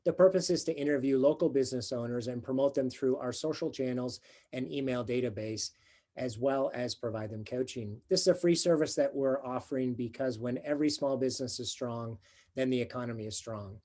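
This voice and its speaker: A man's voice: medium pitch, brisk, mellow. Friendly man hosting an interview. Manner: friendly, encouraging, laughs along. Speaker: business coach, interview host.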